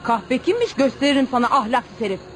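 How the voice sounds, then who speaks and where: A young village woman bent on revenge, fierce and defiant. Her fairly high voice is threatening, in radio play style over light noise. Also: sing-song, fairly quick. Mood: vengeful. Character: vengeful village hodja's daughter, young, fierce. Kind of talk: radio drama acting. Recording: noisy radio drama recording.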